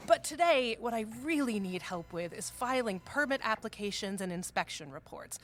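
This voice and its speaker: A woman's voice: on the high side, lively intonation, moderate pace, fairly bright tone, faint music behind. An actress playing a busy, matter-of-fact female office boss in an audio drama. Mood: businesslike, harried. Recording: faint background ambience noise.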